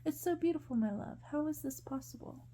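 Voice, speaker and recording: A woman voicing a timid, adoring young noblewoman in a storybook, with a gentle, coy manner. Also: high voice, fairly quick, slight background noise, pitched above the performer's own voice, natural voice.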